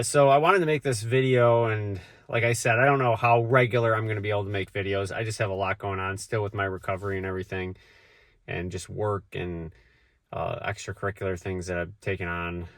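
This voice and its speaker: Guy vlogging with a cold, low voice, dry close sound, rambles with lots of ums. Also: no echo. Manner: rambling, with many fillers and restarts. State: has a cold, voice deeper than usual. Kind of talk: casual vlog talk.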